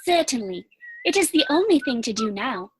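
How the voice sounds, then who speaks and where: A woman's voice: fairly high pitch, sing-song, normal speed, a little hiss or hum. A woman playing a sweet, romantic young girl of good family in a period stage comedy, with a prim, eager manner.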